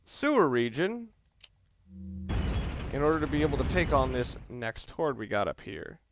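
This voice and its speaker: A man's voice: mid-range voice, big pitch swings, moderate pace. A man giving casual, wry and rambling commentary as he talks through a video game walkthrough. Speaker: let's play commentator. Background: game music and sound.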